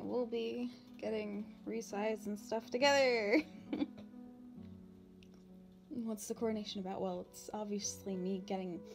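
A woman playing a playful, anxious young demon princess in an ASMR roleplay, talking casually and haltingly with a giggly manner. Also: mid-range voice, lightly acted. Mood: shy, excited. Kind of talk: ASMR roleplay, unscripted-sounding casual chat.

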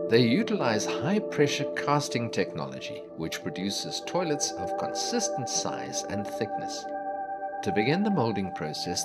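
A man giving scripted documentary narration about a factory in a measured, explanatory delivery. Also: on the low side. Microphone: studio voice-over. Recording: voice-over with clear background music.

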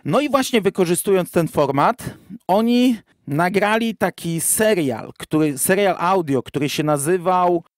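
Podcast host: man, voice a little above average pitch, casual explainer, very dry sound. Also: lively intonation, measured pace, no echo.

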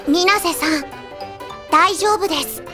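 A deadpan teenage anime girl, high but understated in delivery. She is a quirky idol in an audio drama, unruffled, with music playing behind her. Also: slow pace. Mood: flat, unruffled.

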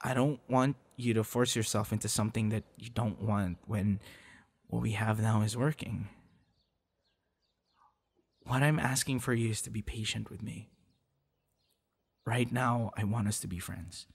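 Slow, low-voiced tender young boyfriend, acted by a man. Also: fairly even intonation, creaky, husky, exaggerated voice. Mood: hesitant, apologetic. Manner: soft, hesitant, with sighs and chuckles. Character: tender, hesitant young man courting a close friend. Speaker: audio roleplay voice actor. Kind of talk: intimate ASMR boyfriend roleplay.

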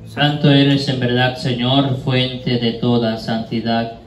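Solemn priest in an echoey room. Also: male voice, mid-range voice, flat delivery, over music. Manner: solemn, measured recitation. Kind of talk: liturgical prayer at Mass. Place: small bare indoor room. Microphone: handheld microphone. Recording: echoey room, distant, background noise.

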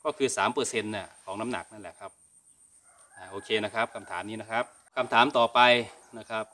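Bright-voiced middle-aged Thai man explaining. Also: on the low side, quick pace. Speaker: cattle farming channel host. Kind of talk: informal explainer answering viewer questions. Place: open-sided cattle barn.

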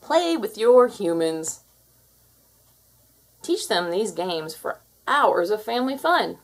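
A woman's voice: medium pitch, big pitch swings, slow pace, exaggerated voice. A woman voicing a confident, bossy alpha cat who lectures kittens, in a theatrical storybook read-aloud style. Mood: instructive.

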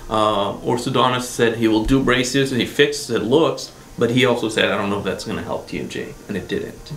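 Man from Egypt, middle-aged, patient, hesitant, earnest, mid-pitched, echoey room. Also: brisk, a bit bright. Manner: earnest, brief answers with hesitations. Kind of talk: interview answers. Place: dental clinic treatment room. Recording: echoey clinic room, faint hiss.

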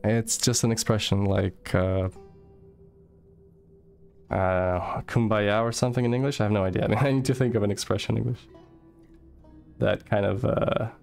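Young man, gravelly low voice, loose chatty streamer talk, faint game music behind. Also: fairly even intonation, normal speed, pauses often, darkish tone. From Moldova. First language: Russian. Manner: chatty, loose, swears casually, reads chat aloud. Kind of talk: casual live-stream chat while gaming.

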